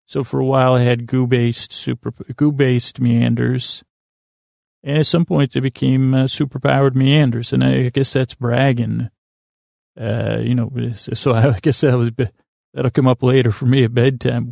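Gravelly, even-toned man, rambling along. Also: mid-range voice, no room sound, clean studio sound. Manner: meandering, halting, repeats words and restarts sentences often; self-deprecating. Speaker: podcast host. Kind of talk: rambling monologue introducing a sleep podcast.

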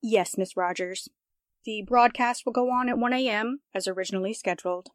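A woman's voice: on the high side, brisk, dry recording, studio quality, natural voice. A woman playing an efficient, polite and concerned radio station assistant in a 1940s-style radio drama.

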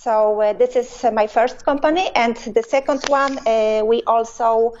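A middle-aged woman answering interview questions over a phone line, speaking haltingly with many pauses and filler sounds. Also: fairly high pitch, a little mellow, a little hiss or hum. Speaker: interview guest, business owner. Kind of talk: hesitant conversational answers.

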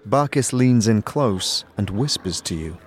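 A man's voice: fairly low pitch, lively intonation, measured pace, slightly rough. A man reading scripted narration as the narrator of a fantasy audio drama. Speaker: narrator and player of the lead character. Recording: music behind the voice.

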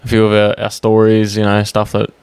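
A man's voice: lowish voice, completely flat delivery, a little fast, a little mellow, clean tone, no room sound. A young man with an Australian accent chatting casually as a podcast co-host, blokey and full of slang and swearing. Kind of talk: casual chat. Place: small home gaming room.

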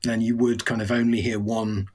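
A man from Coventry co-hosting a podcast, talking in a thoughtful, measured, dry way. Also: fairly low pitch, flat delivery, darkish tone, slightly rough. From Coventry, English Midlands. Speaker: podcast co-host. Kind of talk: casual conversation.

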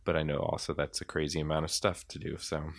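A man's voice: extremely low pitch, little pitch movement, a little fast. A man hosting a podcast in casual chat, talking in a rambling, hesitant way. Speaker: podcast host.

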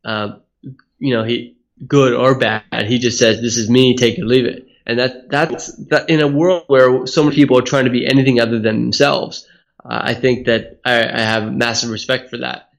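A man from Hawaii talks as an interview guest, earnest and thoughtful, working through his points about speaking and leadership. He explains at length in a mid-pitched voice. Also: fairly even intonation, moderate pace, gravelly, rough recording. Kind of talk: reflective interview answers. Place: Australia.

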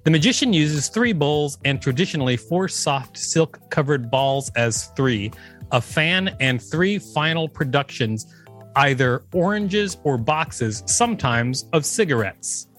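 A man's voice: a little high, lively intonation, unhurried, fairly bright tone, soft background music. A male podcast co-host reading an encyclopedia article aloud, with an amused, casual manner.

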